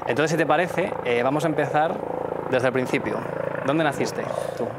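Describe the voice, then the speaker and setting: A man's voice: mid-range voice, normal speed. Young Castilian man hosting, noisy outdoor setting. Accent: Castilian Spanish. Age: young adult. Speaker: interview host. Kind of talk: casual chatty interview. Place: outdoor city terrace. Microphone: lapel mic. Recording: clear outdoor ambient noise.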